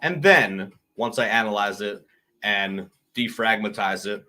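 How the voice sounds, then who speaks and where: Casual male podcast co-host, punchy hype-man delivery, bright edge. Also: fairly low pitch, unhurried. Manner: hype-man openings, emphatic repeats.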